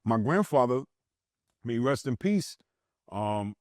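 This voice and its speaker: A man's voice: mid-range voice, animated, a little slow, a little mellow, dry recording. New man, guest, blunt streetwise storyteller, dry close sound. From New York City. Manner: blunt, streetwise slang, reflective. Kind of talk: candid conversational storytelling.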